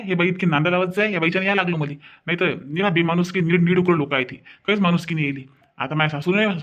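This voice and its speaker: A man's voice: sits high, fairly bright tone, muffled recording. A man voicing an indignant, grumbling village family man in a comedy cartoon, with a Varhadi Marathi accent, repeating his phrases.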